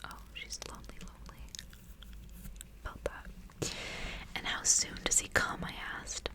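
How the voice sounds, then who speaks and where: Whispering woman, ASMR reading. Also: a little slow. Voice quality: breathy unvoiced whisper. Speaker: ASMR host reading a book aloud. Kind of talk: whispered ASMR audiobook reading with casual asides. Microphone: close ASMR microphone.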